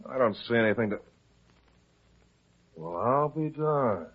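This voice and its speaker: A man playing a slow-witted, easily astonished cop sidekick in an old-time radio drama, plain-spoken and full of puzzlement. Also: mid-range voice, lively intonation, husky. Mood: baffled. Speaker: supporting actor. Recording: dull, lo-fi old radio recording.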